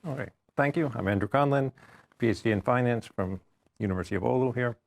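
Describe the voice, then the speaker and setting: A man, a postdoctoral researcher, answering questions in a relaxed, matter-of-fact way. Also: mid-range voice, fast talker, creaky. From United States. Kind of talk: answering questions in an info session.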